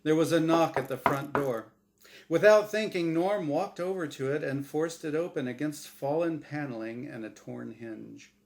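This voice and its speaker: A man reading his own fiction aloud as a dramatic scripted narration. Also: a little high. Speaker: author reading his own short story.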